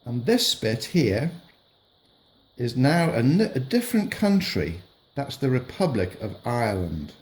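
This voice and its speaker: A man's voice: medium pitch, big pitch swings, a little slow. A middle-aged man, patiently explaining a lesson to young children while he marks up a map. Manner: patient, gentle, narrates while drawing on a map.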